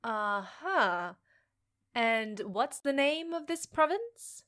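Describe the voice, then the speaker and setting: Woman acting as young half-fae woman, fairly high voice, frank, wry, teasing but supportive, fantasy audio drama. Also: big pitch swings, very slow, fairly bright tone, full character voice. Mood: probing, teasing.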